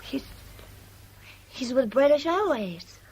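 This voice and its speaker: An actress playing a polite, coy young heiress in a 1970s radio drama, speaking in a courteous manner. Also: female voice, sits high, very animated, slow pace, a little mellow, muffled recording, exaggerated voice. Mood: polite, relaxed. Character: polite, demure young Chinese heiress in Hong Kong. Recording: slightly noisy 1970s radio drama recording.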